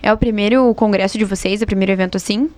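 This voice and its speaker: A woman's voice: mid-range voice, fairly even intonation, quick pace, darkish tone. A young woman with a Brazilian accent hosting a casual podcast interview, chatty and encouraging, often chiming in. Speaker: podcast host.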